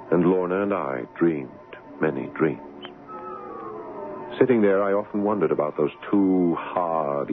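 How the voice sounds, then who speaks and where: An actor playing an American writer husband in a 1970s radio drama, telling his tale in a dramatic, reflective way. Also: very deep voice. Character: American writer husband recounting an ominous past, wistful. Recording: muffled old radio broadcast with music.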